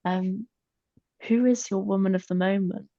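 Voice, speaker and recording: A woman's voice: medium pitch, fairly even intonation, moderate pace, mellow, no room sound, dull audio. A woman hosting a podcast, speaking politely as she interviews and signs off. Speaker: podcast host.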